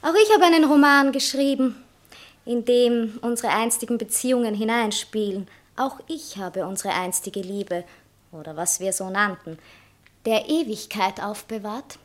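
A woman's voice: fairly high pitch, moderate pace, close to an everyday voice. An actress playing a proud, sentimental woman novelist, dramatic and self-justifying in a satirical stage play. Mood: proud, competitive. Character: proud, sentimental woman novelist torn between two lovers. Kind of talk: stage satire acting in literary German.

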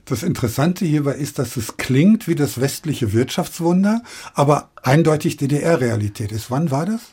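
A man's voice: a little high, lively intonation, moderate pace, a little mellow, husky. A man hosting a radio history podcast, moderating and asking questions conversationally. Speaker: podcast host.

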